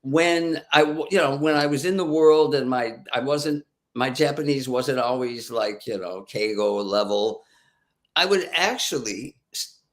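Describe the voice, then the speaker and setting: A man hosting a casual conversation, talking in a relaxed way with frequent "you know"s. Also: mid-range voice, measured pace. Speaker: host.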